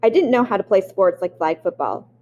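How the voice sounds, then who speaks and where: A woman's voice: medium pitch, a little fast, dull audio. Woman reading a memoir aloud. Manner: expressive reading with occasional restarts. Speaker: audiobook reader.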